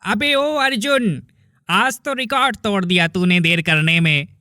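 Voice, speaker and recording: Casting: male actor for bold teasing young villager, cartoon drama, lightly raised pitch, close dry sound. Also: a little slow, pushed and bright, no room sound. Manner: familiar, teasing, emphatic.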